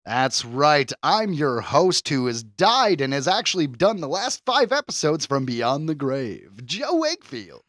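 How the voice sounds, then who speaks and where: A man's voice: fairly high pitch, very animated, unhurried, hardly any pauses, a bit bright, dry recording, studio quality. A man co-hosting a podcast with casual comedic banter in a jokey, mock-serious, emphatic way. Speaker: podcast co-host.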